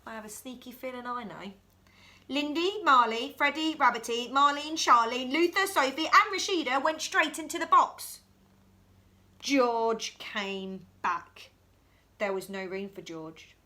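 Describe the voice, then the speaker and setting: A woman reads a children's bedtime story in a high, bright voice. She is animated and expressive, turning to her young listeners with questions as she goes. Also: measured pace. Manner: animated, acts out dialogue, asks listeners questions. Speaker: storyteller reading a children's picture book.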